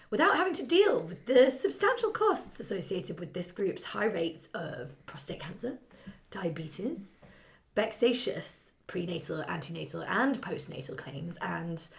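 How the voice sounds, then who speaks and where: A woman's voice: mid-range voice, vocal fry. A woman playing a scathing, callous government minister in a satirical radio play, blunt and sardonic.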